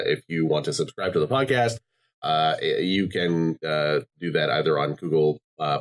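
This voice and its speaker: A man with a very low voice hosts a loose, casual podcast. He rambles with lots of fillers and swears freely as he chats with his guests. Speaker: podcast host.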